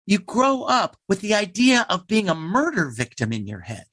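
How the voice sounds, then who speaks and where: Animated higher-pitched man, wry and emphatic. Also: normal speed, no room sound, studio quality. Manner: emphatic, wry, acts out imagined quotes. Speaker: theater company playwright being interviewed. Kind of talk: animated conversational interview answers.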